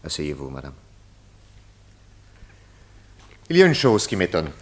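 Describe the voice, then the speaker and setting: A man playing a shrewd, courteous private detective in a radio serial, speaking in a deliberate, inquisitive, polite manner. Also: extremely low pitch, wildly swooping pitch, exaggerated voice. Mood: probing, composed. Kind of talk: old French radio detective serial acting.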